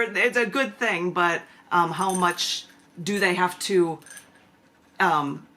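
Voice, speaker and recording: A woman's voice: on the low side, bright, forceful tone. A woman hosting a poetry open mic over a video call, talking in a chatty, rambling way with effusive thanks. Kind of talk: casual hosting of a virtual poetry meeting. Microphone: video call microphone.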